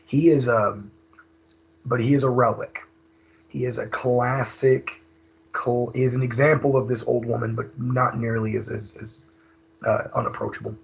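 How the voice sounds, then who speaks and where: A hoarse man, leading a recorded teacher training seminar in a conversational way, with many fillers and restarts. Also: mid-range voice, a little hiss or hum. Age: 30s. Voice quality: raspy. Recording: dull lo-fi screen recording.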